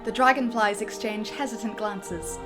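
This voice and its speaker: A woman's voice: mid-range voice, moderate pace, over music. A woman narrating a film script theatrically, with a comedic dramatic flair. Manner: theatrical, breaks easily into giggles.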